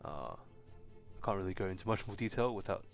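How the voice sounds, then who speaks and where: Young guy, lowish voice, easygoing streamer chatting to viewers, soft music under. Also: normal speed. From China. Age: young adult. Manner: relaxed, frequent ums and restarts. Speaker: tech live streamer. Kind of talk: casual live stream Q&A.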